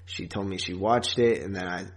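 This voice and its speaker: A man's voice: low-pitched, fairly even intonation, normal speed. A man chatting casually and with a fan's enthusiasm as a podcast co-host about horror films.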